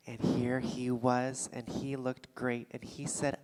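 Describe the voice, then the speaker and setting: A woman's voice: extremely low pitch, little pitch movement, slow pace, darkish tone. An actress playing a defensive, rattled woman with a secret affair in a live staged play reading. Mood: wistful, sad. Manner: indignant, sarcastic, stammering when rattled. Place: theatre stage before a live audience. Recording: live theatre stage, long hall reverb.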